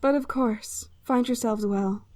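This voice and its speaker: Mellow, high-voiced druid noblewoman, played by an actress, formal and gracious, fantasy audio drama. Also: unhurried, no room sound, studio quality, natural voice. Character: composed noble druid lady, caring, exasperated sister.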